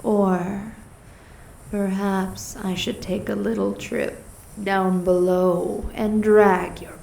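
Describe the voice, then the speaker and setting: Venomous female monster, very slow drawl, dark mellow tone, seductive menace, breathy threats, ASMR fantasy roleplay. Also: on the low side, roomy sound, a little hiss or hum, exaggerated voice, poor audio.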